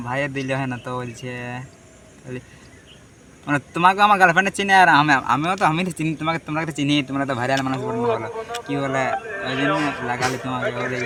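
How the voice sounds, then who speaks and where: A man's voice: a little high, bright, forceful tone, a little hiss or hum. A man chatting casually as a prank-call host. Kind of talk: casual chat to viewers and on a phone prank.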